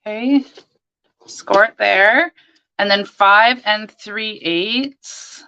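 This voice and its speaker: A hoarse woman hosting a live crafting stream, chatting and greeting viewers by name as she works. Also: mid-range voice, drawn-out words, bright, forceful tone, muffled recording, slight background noise. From Canada.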